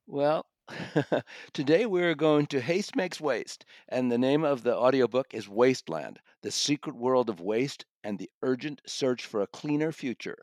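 A man's voice: medium pitch, animated, measured pace, darkish tone, dry recording, clean studio sound. A man co-hosting a podcast, reviewing audiobooks in a discursive, conversational way and often rephrasing his point.

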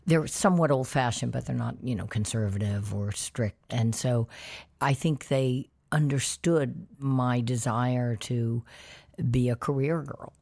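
Deep-voiced woman, candid storyteller. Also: measured pace, vocal fry. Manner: candid, animated storytelling with quoted dialogue. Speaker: interview guest. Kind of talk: conversational interview.

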